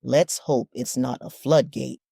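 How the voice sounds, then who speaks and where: A woman's voice: rumbling low, steady tone, a little slow, gravelly, mellow, husky, no echo, full character voice. A woman playing a stern, cautious director in a sci-fi audio drama, speaking with commanding authority. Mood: grave, commanding.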